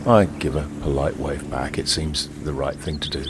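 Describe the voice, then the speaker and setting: Very deep-voiced wry everyman, played by an actor. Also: steady tone, normal speed, heavy background noise. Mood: wry, amused. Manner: wry, confiding, leisurely. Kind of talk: first-person dramatic monologue. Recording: outdoor ambience with birdsong behind. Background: birdsong and outdoor ambience.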